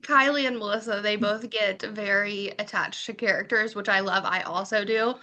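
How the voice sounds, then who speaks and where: A woman's voice: mid-range voice, steady tone, moderate pace, sharp tone. A woman hosting a casual podcast chat, upbeat and playfully teasing. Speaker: podcast host.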